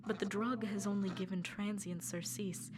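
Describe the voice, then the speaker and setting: A woman's voice: mid-range voice, steady tone, moderate pace, very noisy, lightly acted. A woman playing a haunted, doomed shipwreck survivor in a grave, theatrical gothic horror reading. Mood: despairing, brooding. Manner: theatrical, grave, literary delivery. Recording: voice under loud ambient score.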